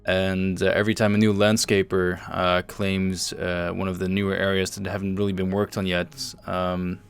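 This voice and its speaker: A man hosting a developer livestream, explaining things casually in a measured, halting way. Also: low voice, level tone, vocal fry. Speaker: host and project lead on a developer livestream. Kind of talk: casual show-and-tell commentary over game screenshots. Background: faint music.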